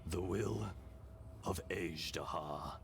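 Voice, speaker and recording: Ancient weary spirit, video game. Deep, slow, grave and formal. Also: male voice, vocal fry, heavy background noise, heavily acted. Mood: grave, measured. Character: calm, solemn ancient male spirit, sealed and weary. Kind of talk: dramatic video game dialogue.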